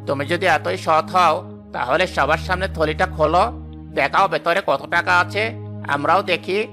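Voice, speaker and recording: A man's voice: a little high, steady tone, normal speed. A man voicing a taunting, insinuating, jealous village man in a Bengali cartoon. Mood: accusing. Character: sneering, slanderous village man, jealous and mocking. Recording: loud background music.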